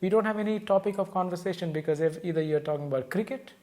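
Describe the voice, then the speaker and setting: Teasing forty-something host, a bit high. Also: male voice, very fast, darkish tone. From India. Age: 40s. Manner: teasing, chuckling. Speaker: podcast host. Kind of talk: casual chat.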